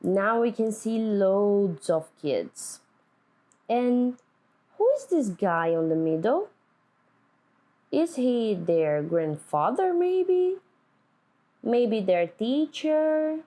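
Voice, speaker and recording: A woman teacher reading a picture book aloud to children, speaking deliberately and putting simple questions to them. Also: on the high side, sing-song, mellow. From Brazil.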